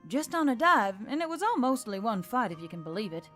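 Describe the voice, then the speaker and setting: A woman's voice: a little high, sing-song, fairly quick, a bit bright. A woman voicing a modest, evasive young female adventurer in a fantasy story. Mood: sheepish, modest. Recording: music bed under the voice.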